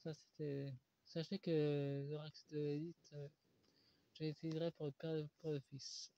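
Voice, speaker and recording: Casting: halting tarot reader with a stuffy cold, man, somewhat high and mellow voice. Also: flat delivery. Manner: halting, with many pauses and "euh" fillers. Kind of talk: solo tarot card reading. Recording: dull lo-fi recording.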